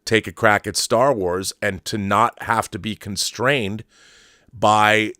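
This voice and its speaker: Male livestream host, middle-aged, lowish voice, casual and opinionated fan talk with halting restarts, close dry sound. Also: steady tone, pushed and bright, dry recording. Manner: halting, rambling, repeats words while thinking. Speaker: host of a film fandom livestream. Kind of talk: casual commentary, answering viewer messages.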